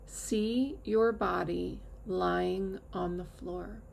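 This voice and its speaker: A woman guides a meditation at a very slow, unhurried pace. Her mid-pitched voice is heavily creaky, and she gives calm, measured instructions with long pauses. There is faint noise behind her. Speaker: yoga nidra teacher.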